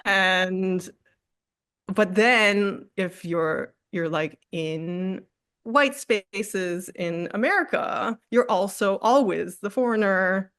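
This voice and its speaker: A woman talks through personal questions in an interview, pausing often and laughing softly. Her pitch sits in the middle. The sound is dry and close, with no room echo. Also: clear, resonant tone. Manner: reflective, many fillers and restarts, soft laughs. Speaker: artist guest. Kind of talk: candid conversational interview.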